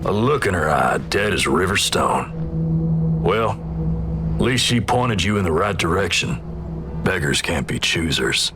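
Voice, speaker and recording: Deep-voiced male Western game narrator: folksy American drawl, terse and ominous, over loud music. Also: sing-song, a little slow, long pauses, exaggerated voice. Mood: wary, ominous. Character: grim, folksy Wild West male narrator, ominous storyteller. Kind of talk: scripted second-person game narration.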